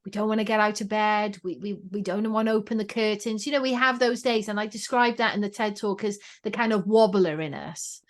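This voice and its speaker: A woman's voice: mid-range voice, steady tone, a little fast, hardly any pauses. A woman with a Welsh accent speaks as a guest on a conversational podcast. She tells her stories with energy and slips into quoting her own past thoughts aloud. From Wales. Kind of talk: casual conversation.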